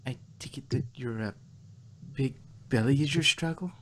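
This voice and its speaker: A man voicing a timid, puzzled female cartoon pony with a breathy, stammering delivery. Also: mid-range voice, moderate pace, fairly bright tone, slight background noise, close to an everyday voice. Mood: nervous, hesitant. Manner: hesitant, stammering.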